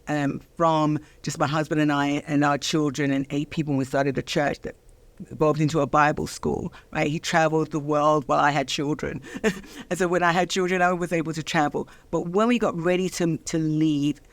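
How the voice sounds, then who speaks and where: Older london lady chatting in a studio, lively, laughs a lot, slows down to make a point, dry close sound. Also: low-pitched, no echo. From London, England. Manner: animated, laughs often, slows for emphasis. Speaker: guest, minister. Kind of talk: studio interview chat.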